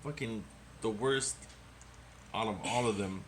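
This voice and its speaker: A man co-hosting a casual movie review podcast, talking in an animated, rambling way and swearing often. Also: fairly low pitch, a little slow, a little hiss or hum. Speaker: podcast co-host.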